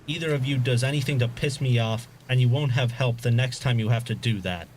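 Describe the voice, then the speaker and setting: A man's voice: mid-range voice, a little fast, close to an everyday voice. Audio drama villain, male actor: cold gunman hijacker, barks clipped orders with menace, mid-range voice, slight background noise. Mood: cold, menacing. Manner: curt, commanding, threatening.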